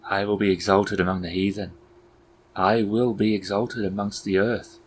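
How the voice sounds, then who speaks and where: A man playing an eerie, courteous clown keeper of the dead in a tabletop game, speaking formally and deliberately in archaic phrases. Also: lowish voice, natural voice, rough recording. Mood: eerily courteous. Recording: clear steady background ambience noise.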